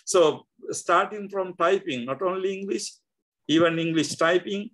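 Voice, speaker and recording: An older man with a Sri Lankan accent, speaking as an interview guest over a video call, halting with many fillers and restarts. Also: sits high, a bit bright, low-quality recording. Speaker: guest scholar. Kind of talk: reflective interview talk. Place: indoor home room.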